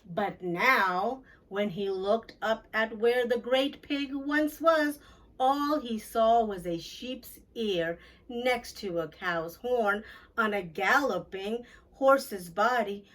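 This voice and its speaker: A woman's voice: a little high, takes it slowly, fairly bright tone, recorded from a distance, poor audio. A woman reading a children's story aloud, expressively, addressing young listeners with questions.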